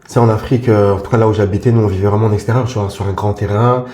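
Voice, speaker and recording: A man's voice: fairly low pitch, steady tone, racing, darkish tone. A man in his forties from Congo, a podcast guest talking casually and hesitantly about his life. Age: 40s. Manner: hesitant, many fillers and restarts, informal. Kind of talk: casual interview talk. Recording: roomy echo with faint music.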